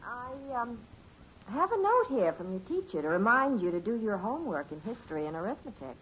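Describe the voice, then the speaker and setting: An actress playing a brisk, affectionate housewife mother in a 1950s radio sitcom. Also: mid-range voice, big pitch swings, fairly bright tone, slightly rough. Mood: inquiring. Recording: dull, hissy old radio recording.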